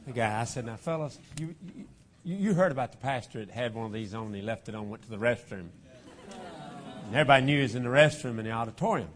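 A man, his voice a bit hoarse from a cold. He opens a sermon in a church auditorium in a folksy, chuckling, self-deprecating way, speaking into a lapel mic. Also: medium pitch, quick pace. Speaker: guest preacher. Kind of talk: joking sermon opening.

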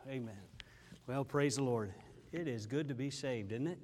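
A man's voice: mid-range voice, normal speed, noisy background. A man in his sixties giving a chatty church testimony as a visiting missionary preacher, with lots of fillers and amens. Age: 60s. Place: church sanctuary.

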